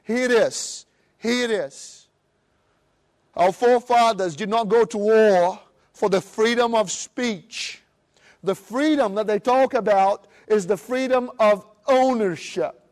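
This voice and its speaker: A middle-aged man from Brazil with a Brazilian Portuguese accent, giving an animated seminar talk and acting out other people's voices. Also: sits high, slow. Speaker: motivational speaker. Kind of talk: live seminar presentation.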